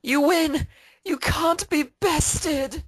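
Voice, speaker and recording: Woman as a very squeaky, flustered pony mare. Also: extremely slow, dry recording, studio quality. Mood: flustered pleading. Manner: stammering, squealing protests. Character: flustered, prim young female pony musician, nervous, pleading.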